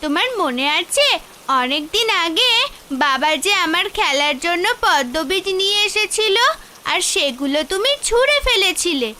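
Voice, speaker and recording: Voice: very high-pitched, big pitch swings, moderate pace, bright, forceful tone, full character voice. A performer voicing a spirited, cheeky young village girl in a cartoon fairy tale, eager and pert. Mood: proud, chatty.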